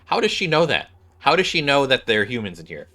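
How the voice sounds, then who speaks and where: A man's voice: mid-range voice, big pitch swings, quick pace, bright, forceful tone. A man streaming a game, reading its dialogue aloud and adding casual commentary.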